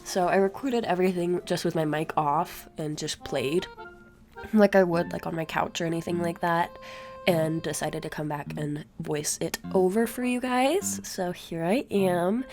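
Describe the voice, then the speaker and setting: A young woman with a stuffy, sore-throated voice rambles through a relaxed voice-over from her chair at home. Her tone sits slightly low and has a gravelly fry, and her chatter sounds tired. Soft music plays faintly underneath. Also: moderate pace. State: sick, congested, sore throat. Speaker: gaming YouTuber. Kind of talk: casual voice-over commentary. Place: home room. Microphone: desk mic, recorded at her chair.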